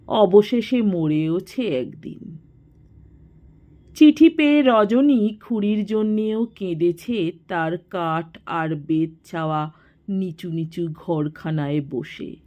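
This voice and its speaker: A woman narrating a literary story in a measured, expressive manner, in a slightly dull recording. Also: fairly low pitch.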